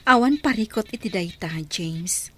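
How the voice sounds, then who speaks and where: A woman's voice: a little high, lively intonation, slow pace, a bit bright, slightly rough, exaggerated voice. A woman acting in an Ilocano radio drama, playing a shy, agreeable sweetheart who is a little nervous. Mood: warm, agreeable.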